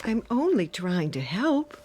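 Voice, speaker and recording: Slow, swooping, smothering older mother. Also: medium pitch, sing-song, a little mellow. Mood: worried, fussing. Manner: tearful, martyred, nagging. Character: smothering, guilt-tripping older widowed mother, fretful. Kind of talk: radio drama acting.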